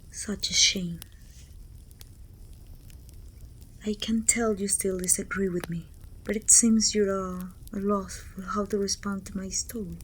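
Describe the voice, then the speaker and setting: A woman playing a proud, commanding evil queen in an intimate ASMR roleplay, speaking softly and often near a whisper in a measured, regal way. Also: medium pitch, a little hiss or hum, lightly acted, poor audio. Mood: pleased, commanding. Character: haughty evil queen, proud sorceress ruler, confiding and commanding. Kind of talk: ASMR roleplay acting, intimate monologue to a servant.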